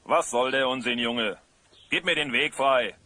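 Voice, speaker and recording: A man playing a gruff, indignant coachman and jailer in an audio drama, complaining and blustering. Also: medium pitch, measured pace, sharp tone, a little hiss or hum. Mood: annoyed. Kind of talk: German audio drama acting.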